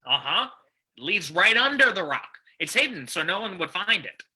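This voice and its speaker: A woman's voice: on the low side, very animated, moderate pace, bright, forceful tone, breathy edge, heavily acted. A woman playing a ruthless, scheming male crime boss with curt, bossy Western talk in an old-time radio drama. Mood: sly.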